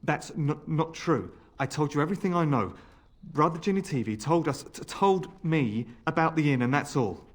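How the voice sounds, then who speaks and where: Deceitful male zealot, evasive and fervent, highish voice with big swings, game drama. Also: lively intonation, normal speed. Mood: evasive, nervous. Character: man posing as a scholar's assistant, deceitful, fanatical zealot. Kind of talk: dramatic video game acting.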